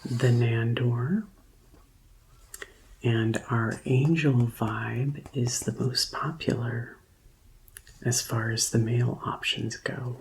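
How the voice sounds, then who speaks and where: A woman speaks softly in an ASMR roleplay, often slipping into a whisper. Her voice is very low for a woman and she takes her time with each phrase, in a gentle customer-service manner. A faint hiss sits under the recording. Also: fairly even intonation, poor audio. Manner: slow, gentle, deliberate customer-service delivery. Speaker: ASMR performer playing a vampire conversion receptionist.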